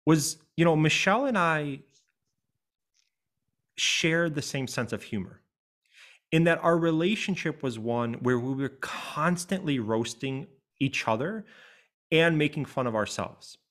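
Earnest male podcast host. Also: on the high side. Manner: earnest and reflective, thinks aloud. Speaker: life coach and podcast host. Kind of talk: reflective interview conversation.

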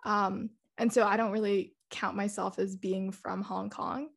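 A woman's voice: mid-range voice, little pitch movement, measured pace, gravelly, slightly rough. A young woman from Singapore talks in a loose, chatty way. She sounds a little self-conscious and fills her sentences with filler words. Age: young adult. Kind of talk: casual chat.

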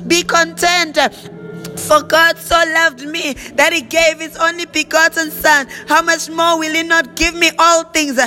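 A woman's voice: very high voice, little pitch movement, measured pace, pushed and bright, faint music behind. A woman leading a fervent spoken prayer, with repeated declarations and stretches of speaking in tongues. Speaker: prayer leader.

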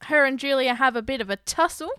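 A woman's voice: high-pitched, quick pace, fairly bright tone, smooth, no room sound, studio quality. A woman co-hosting a casual film recap. She mostly chimes in with short agreements and blunt, profane quips.

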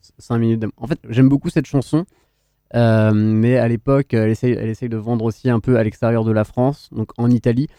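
A man hosts a radio music show in a casual, conversational way. His voice is soft-edged and relaxed, at an ordinary pitch, recorded close and dry. He hesitates as he puts his commentary together. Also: brisk, clean tone, no room sound. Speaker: radio host. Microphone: close studio radio microphone.

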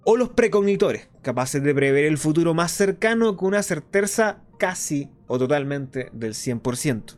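An earnest underground radio host acted by a man delivers a scripted radio drama monologue in a fairly high male voice. He explains things deliberately and didactically, with faint music behind him. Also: expressive, close to an everyday voice. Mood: explanatory, intrigued. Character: clandestine radio host of a dystopian resistance show, earnest and explanatory.

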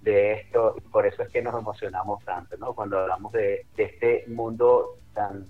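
A man's voice: on the low side, steady tone, moderate pace, slightly rough, phone-quality sound. A man in his fifties with a Caracas Venezuelan accent, a running club director talking as a guest, friendly and chatty with frequent restarts. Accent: Caracas Venezuelan Spanish. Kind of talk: interview guest, reminiscing.

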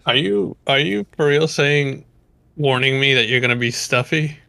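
Young male co-host, crisp bright voice, dry close mic, ribbing and swearing casually. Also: mid-range voice, moderate pace, dry recording. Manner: teasing, blunt, swearing. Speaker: podcast co-host. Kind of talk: casual chat.

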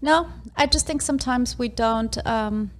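A woman's voice: a little high, measured pace. A young adult woman from Argentina and Ecuador, chatting casually as a guest, laughing often and filling gaps with ums. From half Argentinian, half Ecuadorian. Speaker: interview guest. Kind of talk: casual chat.

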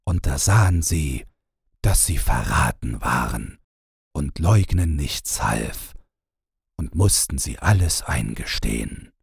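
A man narrating a scripted fairy tale as an audiobook, in a measured storytelling manner. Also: rumbling low, lively intonation, gravelly, breathy edge, dry recording, clean studio sound.

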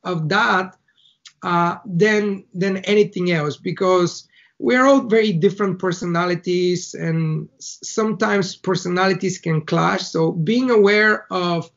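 A man's voice: high-pitched, unhurried, gravelly, fairly bright tone, dull audio. A man from Bulgaria with a Bulgarian accent, a guest in an interview who talks through his ideas with analogies, in short phrases with small restarts. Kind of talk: casual conversational interview.

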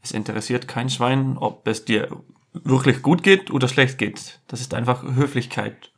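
A man's voice: medium pitch, steady tone, moderate pace, vocal fry, slightly rough. A young Icelandic man with an Icelandic accent, speaking German as a second language, chatting with blunt, dry humor. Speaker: podcast guest, host's partner. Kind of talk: casual conversation.

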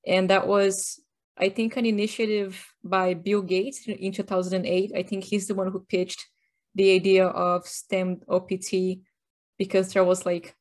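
A woman from Brazil talks casually as a podcast co-host. Her explanations are chatty and full of dry, wry jokes. Her pitch sits in an ordinary middle range. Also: flat delivery, measured pace, a little mellow. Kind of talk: casual chat.

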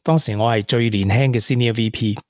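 An actor playing a regretful former tech prodigy in a radio drama, with a Hong Kong accent and earnest delivery. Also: male voice, mid-range voice, steady tone, a little fast, dry recording, clean studio sound, lightly acted. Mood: confessional. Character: regretful young ex-tech prodigy, confessing to a woman. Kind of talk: Cantonese radio drama acting.

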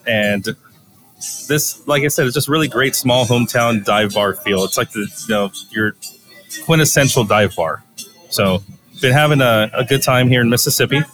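A man's voice: medium pitch, moderate pace, fairly bright tone, rough recording. A man with a stuffy, congested voice, co-hosting a casual chat from a small dive bar, laid-back and easygoing. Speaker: podcast co-host. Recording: music playing in the bar. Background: jukebox music.

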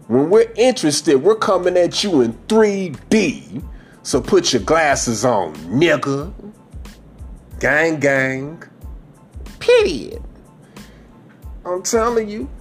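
A man in his forties hosting a podcast, giving a blunt, profane, preachy monologue about dating. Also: on the high side. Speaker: podcast host.

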